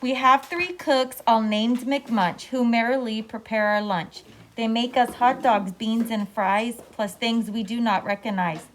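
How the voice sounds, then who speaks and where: Fairly high-voiced woman reading aloud. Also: unhurried, slight background noise, rough recording. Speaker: school staff member reading aloud. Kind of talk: children's story read-aloud.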